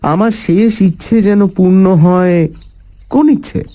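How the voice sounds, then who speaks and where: A man narrating a story in the first person, with an expressive, deliberate dramatic delivery. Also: high voice, muffled recording. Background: faint music.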